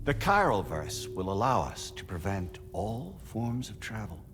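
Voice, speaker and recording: An actor playing a calm, grandiose spokesman for a merged collective of souls, speaking in measured, formal oratory in a game cutscene. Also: fairly low pitch, music in the background. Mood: reassuring. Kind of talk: video game cutscene monologue.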